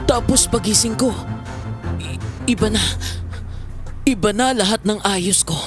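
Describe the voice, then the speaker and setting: A man's voice: high-pitched, unhurried. An actor playing a confused, frightened young male student in an audio drama, hesitant and stammering. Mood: dazed, fearful. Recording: music under the voice.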